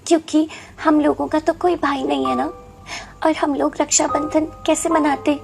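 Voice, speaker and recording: Caring, resigned eighteen-year-old sister, voiced by a woman, gentle storybook delivery, high voice over faint music. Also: fairly even intonation, moderate pace. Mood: sad, resigned.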